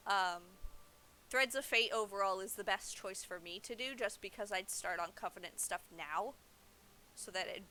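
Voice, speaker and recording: Young woman, very bright forceful voice, informal game streamer, explanatory casual commentary. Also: medium pitch, a little hiss or hum. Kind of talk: casual livestream gameplay commentary.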